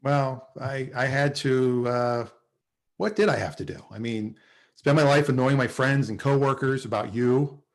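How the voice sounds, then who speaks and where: A man's voice: mid-range voice, unhurried, close to an everyday voice. A man playing an awkward, lovelorn car salesman in a naturalistic sitcom table read. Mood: rueful, flustered. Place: indoors, on a video call.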